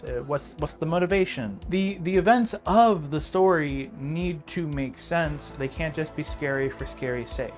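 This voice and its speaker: Casual, sardonic young man doing commentary. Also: medium pitch. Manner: informal, sardonic, with joking asides. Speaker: video essayist. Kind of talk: casual scripted video essay commentary.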